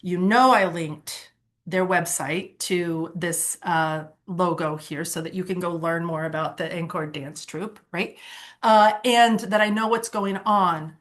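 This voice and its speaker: Woman presenter, from Ohio, somewhat low voice, casual and eager, lots of ums and restarts. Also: fairly even intonation, measured pace. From Ohio, USA. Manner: conversational, with frequent fillers and self-corrections; enthusiastic when telling her own story. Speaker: workshop presenter. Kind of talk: nonprofit board training workshop.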